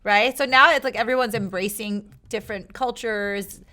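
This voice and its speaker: A woman hosting a casual interview, chatting warmly with her guest and laughing often. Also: mid-range voice. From United States. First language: Armenian. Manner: chatty and laughing, quick filler-heavy talk. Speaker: talk show host.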